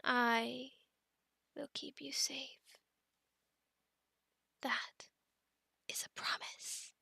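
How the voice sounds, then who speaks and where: A woman playing a possessive, protective female werewolf in an ASMR roleplay, speaking in a breathy, often whispered voice with formal, soothing, deliberate phrasing. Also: sits high, fairly even intonation, sharp tone, no room sound, clean studio sound, heavily acted. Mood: devoted, reassuring.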